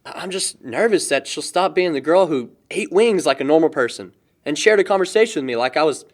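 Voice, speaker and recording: A man's voice: a little high, lively intonation, fairly quick, lightly acted. A young man playing a lighthearted, chatty, lovestruck guy in a casual audio drama, joking and rambling. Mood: earnest, smitten. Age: young adult.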